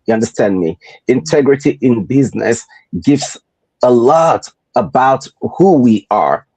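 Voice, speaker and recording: Mellow Nigerian man hosting a podcast. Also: medium pitch, expressive, husky, no echo, poor audio. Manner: rhetorical, deliberate repetition. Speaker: podcast host. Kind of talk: motivational podcast talk.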